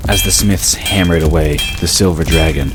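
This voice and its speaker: A man's voice: sits low, moderate pace, music in the background. A man reading scripted fantasy story narration as the narrator of an audio drama.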